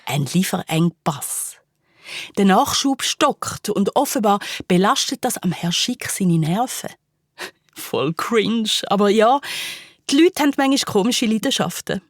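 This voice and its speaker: A woman narrator telling a children's story in a playful, colloquial, expressive way. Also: medium pitch, a little slow, dry recording, studio quality.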